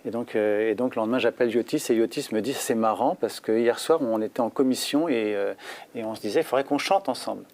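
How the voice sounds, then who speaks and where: A man who conducts a choir, telling a lively story in a conference interview. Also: mid-range voice, fast talker.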